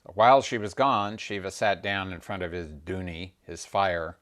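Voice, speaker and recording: A man telling mythological stories expressively and deliberately, with dramatic pauses. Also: deep voice, steady tone, a bit bright. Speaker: storyteller, spiritual teacher. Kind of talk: expressive oral mythological storytelling.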